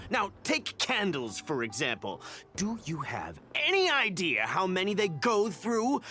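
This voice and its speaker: A crooked game merchant, smug and indignant. His bright, sharp voice swings dramatically in pitch as he haggles with theatrical scorn. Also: full character voice. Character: indignant, pedantic-hating crooked male merchant, scheming and smug. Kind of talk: video game character acting.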